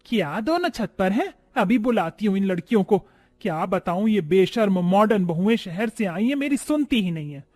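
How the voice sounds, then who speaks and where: A man plays a stern older village mother-in-law from a family story. Her high voice scolds with exasperation and reproach. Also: lively intonation, normal speed, darkish tone, faint music behind. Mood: exasperated.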